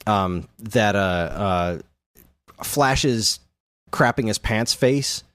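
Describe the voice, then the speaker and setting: A man's voice: on the low side, drawn-out words, a bit bright, no room sound, clean studio sound. A man co-hosting a podcast in casual conversation, animatedly retelling things and acting out the odd quote. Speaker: podcast co-host. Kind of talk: casual chat about films.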